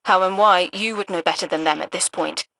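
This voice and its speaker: A woman playing an earnest, resolute soldier in a video game, speaking in a brisk, direct and urgent way. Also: on the low side, vocal fry, pushed and bright. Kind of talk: dramatic video game monologue.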